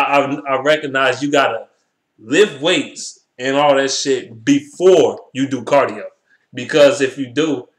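A young American man talks casually to a webcam in an echoey room. His voice sits at an average pitch. He speaks in loose slang with plenty of swearing and circles back to repeat his points. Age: 20s. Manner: slangy, heavily profane, repeats himself. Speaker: vlogger. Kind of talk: casual chat to camera. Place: small bare indoor room. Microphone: webcam at arm's length.

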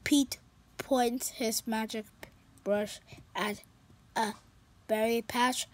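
A storyteller reading a children's picture book aloud in an expressive, animated way. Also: mid-range voice, drawn-out words. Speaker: storybook reader.

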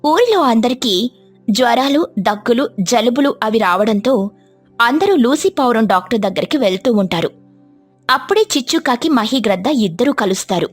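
A woman's voice: fairly high pitch, sing-song, normal speed, faint music behind. A woman narrating a scripted children's story as a storyteller.

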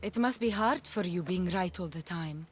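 A woman playing a composed, caring adult daughter in a video game cutscene, speaking in a measured, earnest way. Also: fairly low pitch, slight background noise, lightly acted. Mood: warm, teasing.

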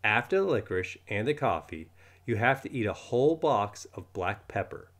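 A schoolboy prankster from a storybook, voiced by a man reading aloud. He is mischievous and mock-earnest, with very animated pitch that leaps up on his exclamations. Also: moderate pace.

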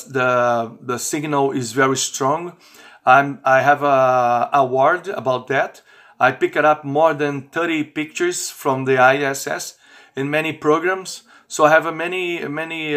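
A man in his fifties, not a native English speaker, talking informally to camera in halting, repetitive phrasing. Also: mid-range voice, a bit bright. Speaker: amateur radio operator and vlogger. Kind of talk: informal video message to camera. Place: small bedroom, indoors.

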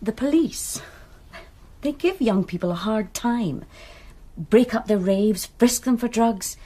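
A woman's voice: on the high side, expressive, slow pace, mellow. A woman playing a guarded young student in a radio drama, answering curtly. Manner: curt, guarded.

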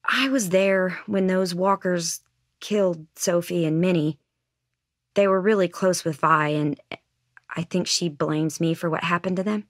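A woman's voice: fairly low pitch, steady tone, unhurried, dry recording, studio quality, lightly acted. A woman playing an earnest, anxious teenage girl survivor in a video game, friendly and folksy but hesitant and weighed down by guilt. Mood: guilty, hesitant. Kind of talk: naturalistic video game voice acting.

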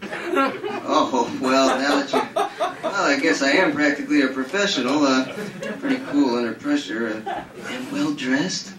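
A man's voice: medium pitch, expressive, measured pace, a crowd in the background, close to an everyday voice. A man playing a clumsy, boastful male card player who stammers eagerly. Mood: flustered eager. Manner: stammering, full of uhs, eager. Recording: roomy hall, mic at a distance.

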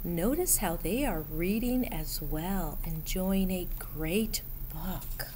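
A middle-aged woman reading a picture book aloud to children in an expressive, deliberate way, pausing as she addresses young listeners. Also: fairly low pitch. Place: school library room, indoors. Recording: steady background room noise.

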